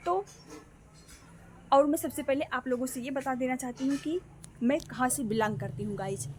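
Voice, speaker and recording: A woman's voice: high-pitched, normal speed, slight background noise. A young woman from Ambedkar Nagar, chatting casually to her vlog viewers outdoors by a guava tree, recorded on a phone and repeatedly addressing them as guys. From Ambedkar Nagar, Uttar Pradesh, India. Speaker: vlogger.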